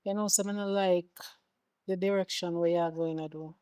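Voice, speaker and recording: A woman's voice: lowish voice, fairly even intonation, moderate pace, mellow, smooth, lightly acted. An actress playing a stern, exasperated middle-aged mother with a Jamaican accent, scolding and confronting her grown son with pointed questions.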